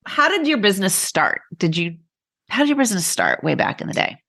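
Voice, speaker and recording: A woman's voice: medium pitch, very animated, brisk, a bit bright, no room sound, studio quality. A woman hosting a podcast, chatting informally in a casual, conversational interview. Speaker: podcast host, coach.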